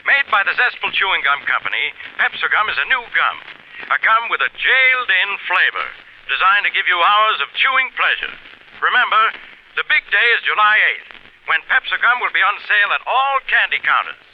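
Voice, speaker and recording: An actor playing a peppy announcer reading a commercial in a 1940s radio drama. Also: male voice, high voice, sing-song, brisk. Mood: promotional. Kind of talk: 1940s radio advertising read. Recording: dull 1940s radio recording.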